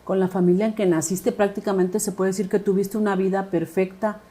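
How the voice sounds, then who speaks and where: A woman's voice: mid-range voice, little pitch movement, brisk, warm tone. A woman hosting a podcast interview. She keeps the guest talking with affirming murmurs and filler words. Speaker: podcast host.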